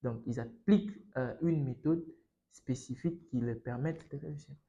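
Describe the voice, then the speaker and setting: A young man from Congo with a Congolese French accent, a student guest discussing casually in an emphatic, halting, repetitive way. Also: mid-range voice, warm tone, lo-fi sound. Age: young adult.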